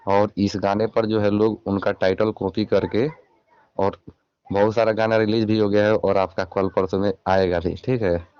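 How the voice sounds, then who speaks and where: A man's voice: fairly low pitch, little pitch movement, moderate pace, clear, resonant tone, no room sound. A man with a Bihari accent speaking as a channel reporter on a recorded phone call, questioning and admonishing in an insistent way. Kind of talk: confrontational phone interview.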